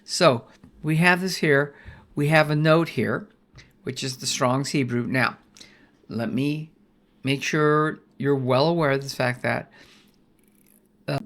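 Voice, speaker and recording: An older man with a gravelly, creaky voice walks through a Bible study lesson in a relaxed, folksy way. He often restarts his phrases and tosses in "right?" as he goes. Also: medium pitch. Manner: folksy, rambling, with many restarts and "right?" checks. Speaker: Bible teacher.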